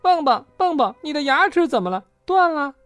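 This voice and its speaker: A storyteller voicing an excitable, caring young animal in a children's storybook, eager and exclaiming. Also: medium pitch, a little fast, slightly rough, faint music behind, voice pushed up, exaggerated voice. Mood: alarmed, worried.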